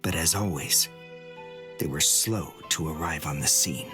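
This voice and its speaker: A man's voice: a bass voice, measured pace, full character voice. An actor playing a proud, brooding young man with a serious, controlled, bitter delivery in an anime game dub. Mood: bitter recounting. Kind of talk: English anime game dub, dramatic monologue. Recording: loud music behind the voice.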